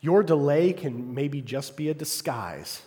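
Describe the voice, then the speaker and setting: Fervent preacher in a reverberant hall. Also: male voice, fairly high pitch, animated, unhurried. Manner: call-and-response, asks congregation "Amen?"; rises to loud, fervent passages, drops to quiet asides. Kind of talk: sermon.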